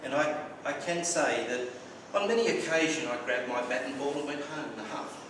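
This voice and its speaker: A man's voice: medium pitch, normal speed. A man from Australia with an Australian accent gives a prepared personal speech, telling stories with wry humour and some stumbling repeats. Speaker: club speaker. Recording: very echoey room, distant mic, clear background noise.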